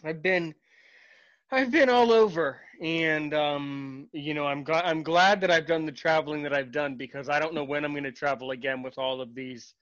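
A man hosting a podcast interview in casual chat, halting and repeating his words, with a sarcastic streak. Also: a little high, fairly bright tone, highs cut off. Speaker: podcast host.

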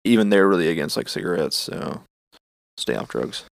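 Low-voiced young man, teasing co-host. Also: expressive, moderate pace, vocal fry, dry recording. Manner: teasing, filler-heavy. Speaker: podcast co-host. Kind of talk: casual chat.

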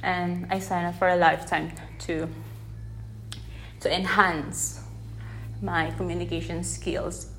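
A woman from the Philippines whose first language is Tagalog, talking unscripted into a phone held in one hand on her podcast, gently and with many restarts as she searches for words. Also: lowish voice, little pitch movement, takes it slowly, pauses often, slight background noise, poor audio. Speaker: podcast host. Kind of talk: unscripted reflective podcast monologue. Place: unedited setting with background sound.

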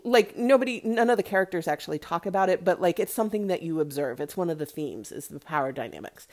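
A woman's voice: mid-range voice, a little mellow. A woman hosting a podcast, chatting casually and giving opinionated movie reviews with emphatic asides and check-ins. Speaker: podcast host.

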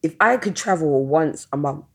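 A woman's voice: deep voice, animated, normal speed, breathy edge. A woman hosting a casual podcast interview, speaking in an informal, slangy way.

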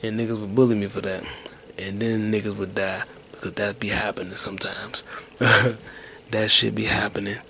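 A man in his twenties talks in a low, monotone murmur, drifting from thought to thought with a detached, low-energy air. His voice sits deep and barely rises or falls, and a faint hiss hangs under the recording. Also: moderate pace, vocal fry. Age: 20s. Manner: low-key, detached, mumbling; whispered rambling. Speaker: podcast host. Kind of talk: rambling solo podcast monologue.

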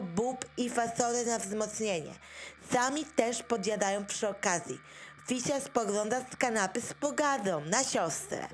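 A woman narrates a scripted radio play in a high voice. Her delivery is unhurried, and music plays softly behind her. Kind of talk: scripted audio drama narration.

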